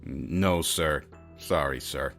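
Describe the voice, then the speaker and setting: Noir audio drama: brooding veteran cop, very low register, grave and hardboiled, faint music behind. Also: male voice, takes it slowly, vocal fry, a bit bright, exaggerated voice. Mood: deferential, concerned. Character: brooding war-veteran police detective, male noir narrator.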